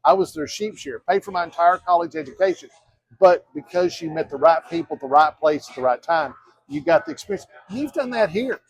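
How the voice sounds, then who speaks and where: A man's voice: fairly high pitch, lively intonation, a little fast, husky, dry recording, rough recording. A man, talking earnestly in a casual interview, rambling and restarting as he goes. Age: 50s. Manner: earnest, rambling with frequent restarts and quoted asides. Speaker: mayoral candidate.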